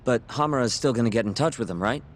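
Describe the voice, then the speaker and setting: An actor playing a brash, impatient young sidekick in a video game, casual and snippy. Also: male voice, mid-range voice, animated, quick pace, a little hiss or hum, close to an everyday voice. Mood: businesslike. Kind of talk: English video game dub acting.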